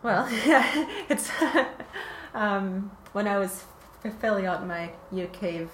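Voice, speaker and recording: Slow-talking hesitant woman from Canada. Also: mid-range voice, expressive. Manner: hesitant, many fillers, laughs often. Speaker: guest, researcher. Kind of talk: casual conversational interview. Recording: roomy with faint ambient noise.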